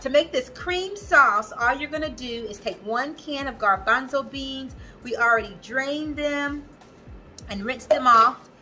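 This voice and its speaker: Woman, thirties, cooking vlogger, upbeat, chatty, slightly high voice, home kitchen, close camera mic. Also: unhurried, music in the background. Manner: upbeat, chatty, talks to viewers as "you guys". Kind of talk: casual cooking demo chat.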